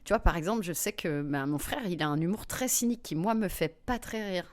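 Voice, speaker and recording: A woman comedian, a guest in an interview, telling lively personal anecdotes with frequent fillers. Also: lowish voice, brisk. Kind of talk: anecdotal storytelling in conversation.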